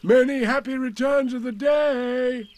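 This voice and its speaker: A man's voice: medium pitch, expressive, unhurried, a little mellow. A man voicing a friendly, simple-minded male teddy bear in a storybook reading, earnest and cheerful. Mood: excited. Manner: earnest, cheerful, plain-spoken.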